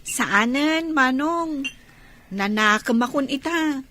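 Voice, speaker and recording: A woman's voice: high-pitched, animated, takes it slowly, bright, forceful tone, slight background noise, exaggerated voice. A woman playing a young, repentant runaway daughter in a radio drama, plaintive and meek, with an Ilocano Filipino accent. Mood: contrite.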